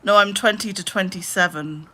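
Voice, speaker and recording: A woman in her late twenties chatting casually on a live stream, rambling with lots of "like" and trailing into low mutters. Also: normal speed, bright, forceful tone. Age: 20s. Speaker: livestreamer.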